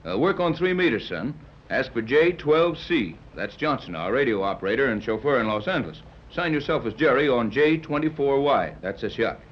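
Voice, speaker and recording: A man plays a brisk, doubting yacht captain in an old radio adventure. He talks fast in a medium-pitched male voice, wry and commanding. The 1930s recording is dull with some noise. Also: animated, natural voice. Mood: suspicious. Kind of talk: 1930s radio serial acting. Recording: muffled, hissy 1930s radio transcription.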